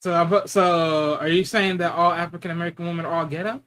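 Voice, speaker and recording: A man's voice: on the high side, fairly even intonation, quick pace, a bit bright. A man guesting on a casual podcast, blunt, profane and mocking. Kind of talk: casual chat.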